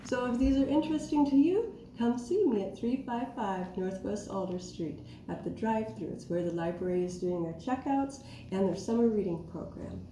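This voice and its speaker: A woman hosting a library story time, reading a picture book aloud to small children in an animated, sing-song, questioning way. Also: mid-range voice, normal speed, hardly any pauses. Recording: roomy echo, faint background noise.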